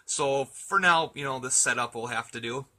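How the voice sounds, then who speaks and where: A man's voice: mid-range voice, normal speed, heavy background noise. A man in a casual radio chat, talking in an easygoing, chatty and hesitant way as an amateur operator.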